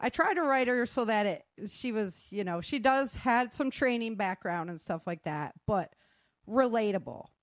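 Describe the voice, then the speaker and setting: A woman's voice: on the high side, animated, normal speed, no echo, studio quality. A middle-aged woman talking informally as a self-defense instructor, acting out remarks as she tells stories. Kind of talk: casual informal talk.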